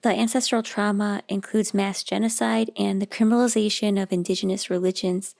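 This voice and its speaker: Woman, vlog narration, hoarse, raspy, gravelly, earnest, mid pitch. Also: little pitch movement, a little fast, a little mellow. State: hoarse, losing her voice. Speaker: video host.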